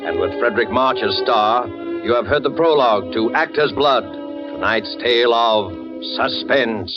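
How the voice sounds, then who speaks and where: A male announcer with a mid-pitched voice makes formal, dramatic introductions in 1940s radio style. Loud music plays, and the recording sounds muffled. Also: moderate pace.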